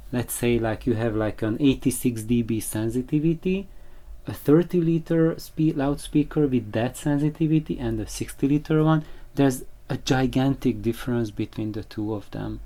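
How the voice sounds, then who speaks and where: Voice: medium pitch, dark tone. A presenter giving an informal technical explanation, speaking emphatically with plenty of intensifiers and analogies. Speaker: presenter explaining loudspeaker design.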